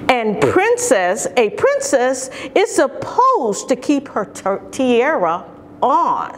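Storytime reader: middle-aged woman, highish swooping voice, expressive emphasis for small children, some room noise. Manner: expressive, deliberate, with drawn-out emphasis on key words. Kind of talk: children's picture-book read-aloud. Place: large carpeted indoor lobby or lounge.

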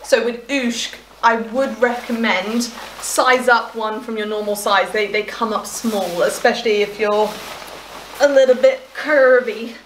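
A woman's voice: on the high side, steady tone, unhurried. A young woman chatting casually and enthusiastically through a clothing try-on at home, often trailing off mid-thought. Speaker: fashion vlogger. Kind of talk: casual try-on haul chat. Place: hallway at home, indoors. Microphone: camera across the room. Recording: echoey hallway, faint hiss.

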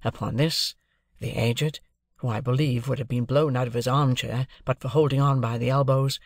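A man's voice: medium pitch, steady tone, moderate pace, no echo, studio quality. A man reading a classic novel as scripted audiobook narration.

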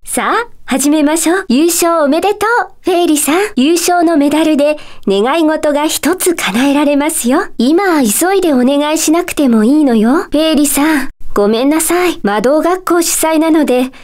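A woman voicing a polite, gentle and mysteriously calm magic teacher in a video game, with composed, kindly phrasing. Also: sits high, moderate pace, hardly any pauses. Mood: courteous, composed. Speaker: teacher character in a puzzle game. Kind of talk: Japanese video game character dialogue.

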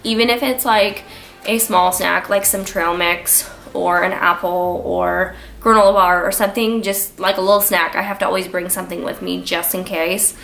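A young woman with a blocked, stuffy nose talks casually to a camera in a small room indoors. She rambles in an easy, chatty way and scatters "like" through her sentences, while faint music sits low in the background. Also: fairly even intonation, moderate pace, gravelly, fairly bright tone. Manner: chatty, lots of filler "like". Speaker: vlogger. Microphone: camera on a tripod, framed from the waist up.

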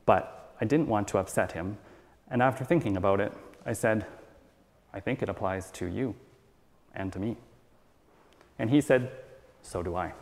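A middle-aged man reads a sermon aloud from the pulpit. His delivery is measured and earnest, clearly following a script. The sound carries the long reverb of a church hall. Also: fairly low pitch, darkish tone. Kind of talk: read sermon. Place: church sanctuary at a wooden pulpit, indoors.

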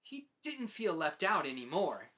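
A man in his forties narrating a children's storybook as host, with deliberate, dramatic phrasing. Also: on the high side, very animated, sharp tone. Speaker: host reading picture books aloud.

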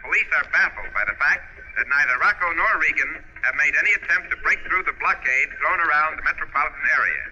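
An actor playing an urgent news bulletin announcer in 1940s radio style. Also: male voice, fairly high pitch, animated, fast talker, sharp tone, breathy edge, exaggerated voice. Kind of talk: 1940s newscast acting. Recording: tinny, noisy old radio.

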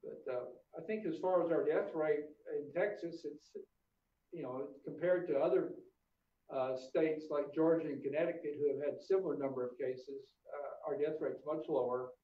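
An older man, a family doctor, speaking in a relaxed, optimistic way with many filler uhs. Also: a little high, normal speed. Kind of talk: casual video interview. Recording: echoey, distant, phone-like audio.

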